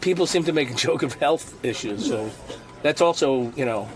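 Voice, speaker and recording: A man's voice: medium pitch, expressive, normal speed. A man being interviewed, answering casually but defensively, with chatter behind him.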